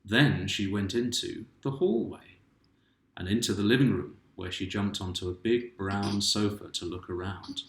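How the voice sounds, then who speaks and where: A man's voice: fairly low pitch, fairly even intonation, moderate pace. A man reading his own children's picture book aloud, stopping to ask the listening child questions. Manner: gentle, asks the child and viewers questions. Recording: roomy home room echo.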